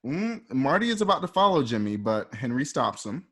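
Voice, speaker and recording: Medium-pitched man narrating a radio play. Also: big pitch swings, a bit bright, slightly rough. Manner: measured, present-tense scene setting.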